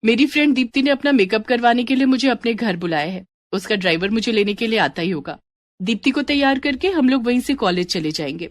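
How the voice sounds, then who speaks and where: A woman's voice: fairly high pitch, fairly quick, no echo, studio quality, natural voice. A woman voicing a cheerful, confident young college girl in a story, with a breezy, reassuring manner.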